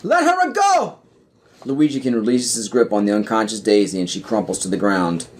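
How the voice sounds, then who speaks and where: A man's voice: lowish voice, fairly even intonation, a little fast, a little mellow. A man narrating an audio play, reading out screenplay scene headings and stage directions.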